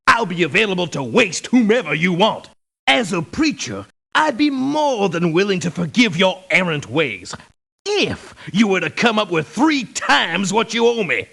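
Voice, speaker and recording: Pompous gun-toting preacher, pious sermonizing, high bright voice, gravelly, theatrical game acting. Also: male voice, heavily acted. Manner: sermonizing, pompous, formal biblical phrasing. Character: pious, pompous preacher turned mercenary, ruthless beneath the scripture. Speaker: video game character voice. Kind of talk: theatrical video game voice clips. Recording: clipped lo-fi game voice samples.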